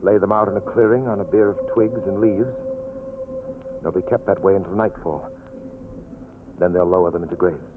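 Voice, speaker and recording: An actor playing a haunted, uneasy gentleman in earnest, hesitant 1940s radio style. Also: deep voice, fairly even intonation, moderate pace, pauses often, lightly acted. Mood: grave, weary. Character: haunted, uneasy gentleman fearing a voodoo curse. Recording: noisy, tinny old radio recording.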